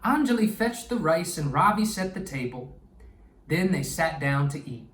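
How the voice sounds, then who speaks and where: A man reading a children's story aloud expressively and pausing to explain things to young listeners. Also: on the high side, big pitch swings, a bit bright. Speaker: children's storytime reader and educator. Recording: echoey room, distant microphone.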